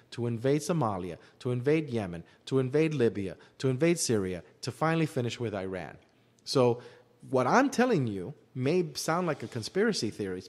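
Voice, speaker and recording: A man explaining his opinions at length in a discussion, emphatically repeating phrases for effect. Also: medium pitch. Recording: long hall-like reverb.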